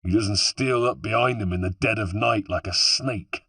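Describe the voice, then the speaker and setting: A man's voice: lowish voice, moderate pace, sharp tone, no echo. A man voicing a taunting, middle-aged West Country villain with a wheezy, breathless voice in an audiobook. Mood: scornful. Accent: West Country English. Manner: taunting, contemptuous.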